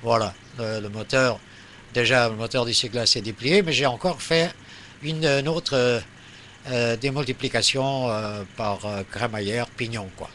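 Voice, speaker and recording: A man's voice: medium pitch, steady tone, unhurried, fairly bright tone, slight background noise. A man in a casual radio chat as an amateur operator, rambling and searching for words.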